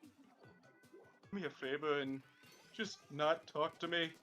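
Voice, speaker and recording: A man's voice: fairly high pitch, takes it slowly, bright, forceful tone, faint music behind. A man voicing a miserable, whiny, melodramatic cartoon plumber in a comic script reading. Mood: sullen. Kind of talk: comic fan script read-through.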